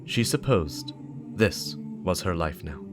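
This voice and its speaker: Man, deeper-pitched, lively intonation, scripted lore narration, music bed. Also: slow pace. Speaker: narrator and channel host. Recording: music behind the voice.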